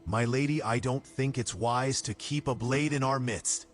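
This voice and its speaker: A man's voice: mid-range voice, moderate pace, lightly acted. An actor playing a cautious, dutiful castle guard in a fantasy audio drama, courteous and formal as he keeps the peace.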